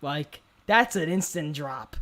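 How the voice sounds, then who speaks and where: A man's voice: fairly high pitch, lively intonation, takes it slowly, a bit bright. A man hosting a podcast in casual chat, swearing freely and often repeating words and phrases as he talks. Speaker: podcast host.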